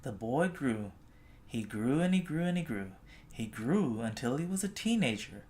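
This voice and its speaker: A storybook reader telling a children's tale expressively, with gentle, drawn-out phrasing. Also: mid-range voice, sing-song.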